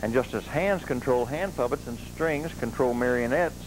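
A man's voice: medium pitch, normal speed. An American man hosting a children's show with casual show-and-tell chat, on a noisy 1980s TV broadcast tape.

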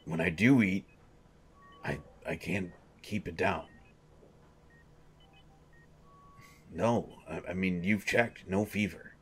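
A man voicing a gruff male sports coach who is slowly turning into a hungry monster, speaking haltingly and repeating himself. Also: low voice, heavily acted. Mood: uneasy. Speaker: audio drama voice actor. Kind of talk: audio roleplay monologue.

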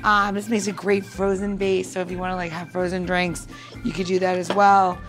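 A woman's voice: fairly low pitch, little pitch movement, normal speed, fairly bright tone, soft background music. A woman chatting informally and self-deprecatingly as she demonstrates a cocktail recipe as a podcast host.

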